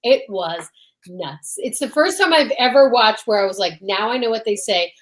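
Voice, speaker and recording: Woman, fairly high pitch, playful, excitable, casual live-stream banter, emphatic repetition. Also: normal speed. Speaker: co-host of a comedy live stream.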